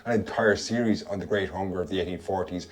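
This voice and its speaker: Measured, low-voiced man explaining history. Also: steady tone. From Ireland. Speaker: history presenter. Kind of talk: scripted explanatory narration.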